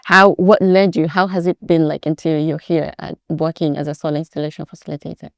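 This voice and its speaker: A woman's voice: low voice, level tone, a little fast, vocal fry, dry recording, studio quality. A woman hosting an interview, introducing each guest and asking about their journey with affirming comments. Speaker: host, interviewer. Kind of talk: interview questions.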